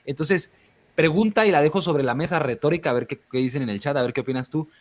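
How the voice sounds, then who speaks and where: A man's voice: on the high side, fast talker. Hesitant, reflective male co-host. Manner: reflective, hesitant, many restarts. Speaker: podcast co-host. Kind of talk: casual live discussion.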